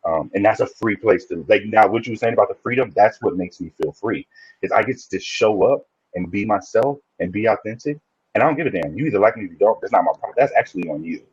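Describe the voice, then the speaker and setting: A man's voice: lowish voice, lively intonation, fast talker, darkish tone, slightly rough, no room sound, lo-fi sound. A man guesting on a podcast, talking reflectively in a casual interview and often restarting his sentences. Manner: reflective, speaks in restarts and repeats.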